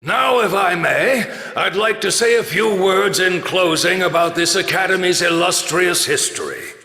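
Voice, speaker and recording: An elderly military academy principal orates in a long hall reverb. He is stately and unhurried, with big, bright, swelling phrases made for a game's ceremony. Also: sits high, heavily acted. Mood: solemn, stately. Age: older. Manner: stately, measured, oratorical. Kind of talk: formal ceremonial speech, game voice acting.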